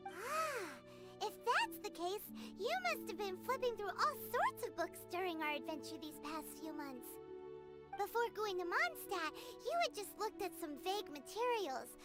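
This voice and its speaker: A woman voicing a tiny, chirpy, curious fairy guide with a squeaky childlike voice in an anime-style video game. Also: very animated, unhurried, loud music behind the voice, heavily acted. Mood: inquisitive. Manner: refers to self in third person, exclamatory.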